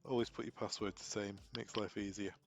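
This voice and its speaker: Low-voiced man giving a software tutorial. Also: flat delivery, a little fast. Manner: informal, instructional, talking through each step aloud. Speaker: technical trainer presenting a tutorial. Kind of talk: unscripted step-by-step software walkthrough.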